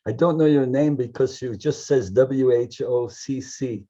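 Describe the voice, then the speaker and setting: A man's voice: mid-range voice, unhurried, warm tone. An older man with an American accent, chatting casually as a professor on a video call. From United States, grew up in New York. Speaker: visiting professor and speaker. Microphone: computer video call microphone. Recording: dull, muffled video call audio.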